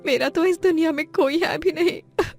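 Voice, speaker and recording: A woman's voice: extremely high pitch, moderate pace, faint music behind, voice pushed up. A woman voicing a wronged pregnant young wife in a moral story, emotional and determined, with dramatic delivery. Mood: tearful pleading.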